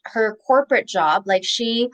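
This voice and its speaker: A woman in her thirties speaks as an interview guest, her voice sitting somewhat high. She talks in a chatty, easygoing way, often restarting mid-sentence. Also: expressive, measured pace, a bit bright. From Philippines. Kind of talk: casual interview.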